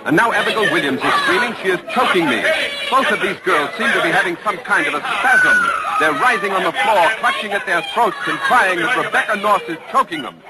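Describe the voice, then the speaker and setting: An urgent radio newsman in a historical drama, his delivery swinging widely in pitch. He reports as if on the spot, with dramatic weight. The old broadcast recording is thick with noise. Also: wildly swooping pitch, a little fast. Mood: urgent, excited. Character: urgent male newsman reporting live from a witch trial.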